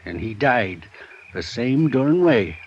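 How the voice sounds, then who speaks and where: A drawling old hand. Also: male voice, mid-range voice, very animated, creaky, a little mellow, exaggerated voice. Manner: slow folksy drawl. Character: drawling old western hand, folksy and shrewd. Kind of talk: 1950s radio acting. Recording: dull old radio transcription with hiss.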